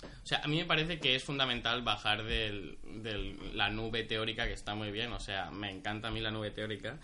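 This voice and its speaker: A man's voice: fairly low pitch, moderate pace, bright, forceful tone. A young man from Spain in a casual group discussion, speaking articulately with frequent fillers.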